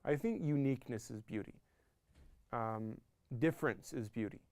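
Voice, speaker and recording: A man's voice: mid-range voice, moderate pace, a little mellow, dry recording. A man in his thirties being interviewed for a documentary, reflective and repeating words as he thinks. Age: 30s.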